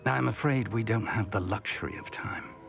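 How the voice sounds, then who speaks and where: A man's voice: lowish voice, moderate pace, gravelly, a bit bright, faint music behind. A man voicing a professor and mentor who speaks politely and earnestly. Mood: urgent.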